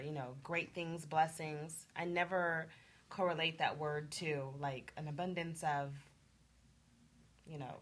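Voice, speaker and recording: Woman, low pitch, panel guest, casual discussion.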